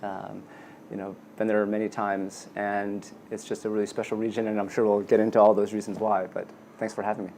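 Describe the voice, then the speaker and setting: Man, wine bar owner, low earnest voice, eager guest. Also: level tone, fairly quick, creaky, mellow, slight background noise. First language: not from the country. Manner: earnest, enthusiastic. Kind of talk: casual podcast introduction.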